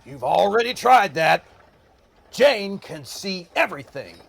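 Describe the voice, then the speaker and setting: A jittery, hard-drinking man fed up with the afterlife. His voice is gravelly and bright, drawn out slowly with theatrical exasperation, as in an audio drama. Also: high-pitched, very animated, exaggerated voice. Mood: weary, grudging.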